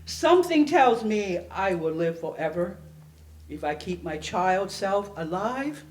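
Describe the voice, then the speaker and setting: Echoey, slow, dramatic woman poet. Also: mid-range voice, very animated, darkish tone. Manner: theatrical, deliberate phrasing with long pauses. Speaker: poet performing at a library reading. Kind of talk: dramatic poetry recitation. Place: indoor library event space. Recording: echoey room, public event recording.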